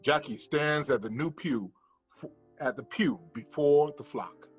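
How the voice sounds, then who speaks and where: Husky male narrator reading stage directions. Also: a little high, unhurried. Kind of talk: scripted narration of screenplay directions. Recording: dull lo-fi room recording, faint music.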